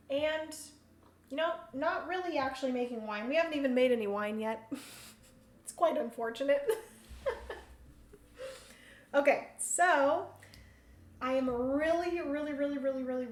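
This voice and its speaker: High-voiced woman streaming in a roomy room. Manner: chatty, thinks aloud, laughs often. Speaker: host. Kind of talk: solo board game livestream commentary. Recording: roomy room echo, faint hum.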